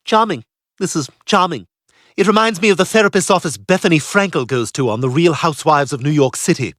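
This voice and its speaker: A man's voice: fairly high pitch, brisk, fairly bright tone, dry recording, studio quality, lightly acted. A man voicing a snide infant boy with a posh British accent, talking in a sarcastic, mocking way.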